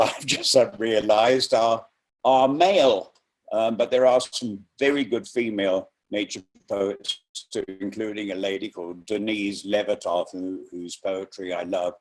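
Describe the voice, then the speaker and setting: Slow-spoken, reflective man. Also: medium pitch, a little mellow. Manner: slow, reflective, halting with pauses. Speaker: poetry reader introducing an event. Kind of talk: unscripted informal talk on a video call. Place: video call.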